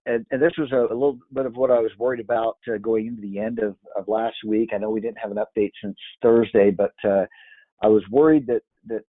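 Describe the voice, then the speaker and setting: Hoarse man explaining charts. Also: on the low side, steady tone, fast talker, no room sound, narrow, tinny audio. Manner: hesitant, many fillers and restarts. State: hoarse from yelling. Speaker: market analyst. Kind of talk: screen-share chart walkthrough.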